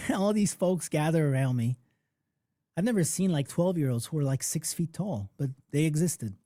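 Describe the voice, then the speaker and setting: A man originally from Poland hosts a live online workshop, talking fast and loose like he's chatting with friends, checking in with his listeners as he goes. His voice sits a little high for a man, yet the tone is soft and mellow, and the sound is close and dry, with no room around it. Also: no room sound. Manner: conversational, animated storytelling with frequent "okay?" and "right?". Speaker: music producer and mentor hosting a workshop.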